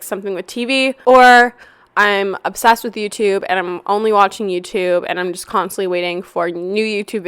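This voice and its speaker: Young female podcast host, hoarse and raspy, loose rambling chat, smooth tone under the rasp. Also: medium pitch, a bit bright, no echo, studio quality. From Canada. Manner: chatty and rambling, lots of "like". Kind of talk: casual solo podcast chat. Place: apartment, not her usual studio.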